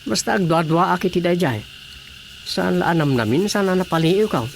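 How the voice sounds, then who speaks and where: Casting: gossipy, doubting village man, higher register with gravelly fry, radio comedy, faint noise behind. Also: moderate pace. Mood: suspicious. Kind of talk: Ilocano radio drama comedy acting.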